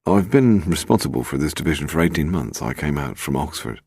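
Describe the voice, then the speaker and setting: Man acting guarded British police inspector, gravelly. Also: very deep voice, sing-song, brisk, darkish tone, dry recording, studio quality, full character voice. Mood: defensive. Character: defensive, uncomfortable male local police inspector. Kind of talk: audiobook character dialogue.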